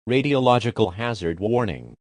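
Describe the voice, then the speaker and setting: A man reading a scripted emergency alert as a formal, measured broadcast announcer. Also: lowish voice, big pitch swings, no room sound, clean studio sound. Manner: formal, measured official delivery.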